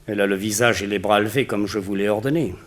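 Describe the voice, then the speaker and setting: A cold, imperious master villain acted by a man in an old radio play. His low voice gives orders and puts questions with total authority over his victim. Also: steady tone, quick pace, close to an everyday voice. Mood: coldly probing. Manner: imperious, interrogating, commanding. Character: cold domineering male villain, a hypnotist master giving orders. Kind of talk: 1960s French radio drama acting.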